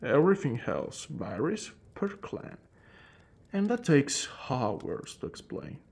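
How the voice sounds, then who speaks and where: A man playing a courteous, calm and wry centuries-old vampire in an ASMR roleplay, speaking in a hushed voice that drifts into whispers with theatrical pauses. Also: mid-range voice, gravelly, husky, full character voice. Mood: informative, wry. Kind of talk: ASMR roleplay addressed to the listener.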